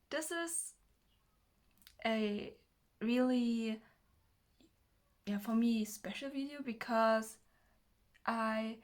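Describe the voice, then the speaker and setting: A nineteen-year-old woman from Germany with a German accent, talking casually to the camera in a nervous, hesitant, halting way as a non-native speaker. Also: fairly high pitch. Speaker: self-development video creator. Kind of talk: casual personal talk to camera.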